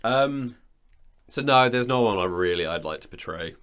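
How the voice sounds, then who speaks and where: A man's voice: mid-range voice, lively intonation, normal speed, a bit bright, clean tone. A man with a British accent chatting informally in a studio, blunt and self-deprecating in how he talks. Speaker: audio drama producer, writer and editor. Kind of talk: casual chat. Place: indoor studio.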